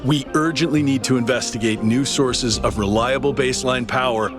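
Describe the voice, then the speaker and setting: Game role: earnest robot campaigner played by a man, medium-pitched, courteous yet urgent, music playing behind. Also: fairly even intonation, normal speed, natural voice. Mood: earnest, concerned. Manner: earnest, persuasive, polite. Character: earnest male android citizen activist, politely urgent. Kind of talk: video game dialogue.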